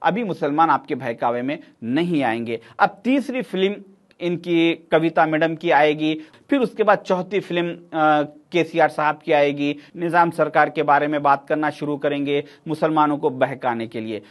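Middle-aged man, raised pitch, pointed and argumentative studio commentator. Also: moderate pace. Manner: emphatic, sarcastic, argumentative. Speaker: political commentator. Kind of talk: political commentary to camera.

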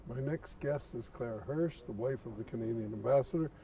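An older male show host interviewing guests, soft-spoken and affable with brief prompts. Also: mid-range voice, a little fast, background noise. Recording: off-mic, distant.

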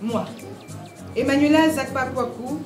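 A woman makes a solemn, formal proclamation in a conference hall. Her voice is high and sounds distant, caught in an echoey room. Music plays clearly under her words. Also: unhurried. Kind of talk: formal ceremonial declaration.

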